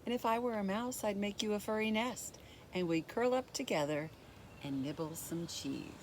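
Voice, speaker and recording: A woman reading a picture book aloud as a story time host, speaking deliberately and expressively to young children. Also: mid-range voice, slight background noise. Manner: deliberate, expressive, addressing young listeners directly.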